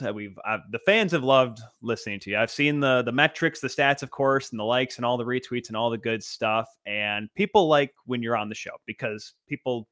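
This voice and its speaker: A man's voice: medium pitch, fairly bright tone, dry recording, clean studio sound. A man hosting a sports podcast and interview in an emphatic, showy announcer-like manner. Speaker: podcast host.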